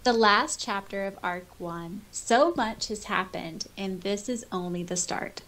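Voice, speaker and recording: A woman's voice: mid-range voice, unhurried, fairly bright tone. A woman speaks as a podcast co-host in a casual, conversational way. She reads comments aloud and chats about them, with a light, giggly manner. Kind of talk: casual chat, reading out listener comments.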